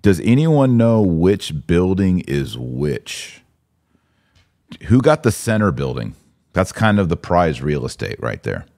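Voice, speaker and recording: A man's voice: low-pitched, big pitch swings, slow pace, darkish tone, no room sound, clean studio sound. A man hosting a live podcast discussion in an opinionated, emphatic, colloquial way. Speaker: podcast host. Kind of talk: live commentary and casual discussion.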